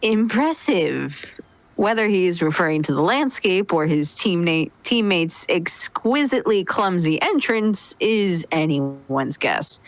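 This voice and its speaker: A woman narrates a story as a dramatic reading. Her voice is low with lively intonation, captured dry without room echo. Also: a little slow, few pauses. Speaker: roleplay narrator.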